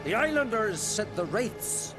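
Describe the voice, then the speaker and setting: Game casting: testy, learned druid, arch and theatrical, fairly high voice, slow and highly animated, over loud music. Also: male voice. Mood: grave recounting. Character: haughty, eccentric learned male druid, testy and dramatic. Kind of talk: video game cutscene acting.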